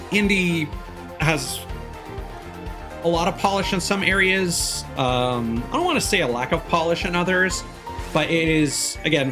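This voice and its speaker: A man doing casual gameplay commentary as a livestreamer, rambling and easily sidetracked, with casual swearing. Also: medium pitch. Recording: game music playing behind voice. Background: video game music.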